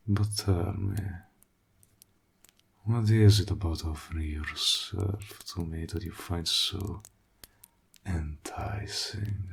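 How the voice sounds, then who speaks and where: A man playing a seductive, menacing warlock in an intimate roleplay audio drama, smug and possessive, teasing in a gravelly, breathy half-whisper. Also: low-pitched, a little hiss or hum, heavily acted.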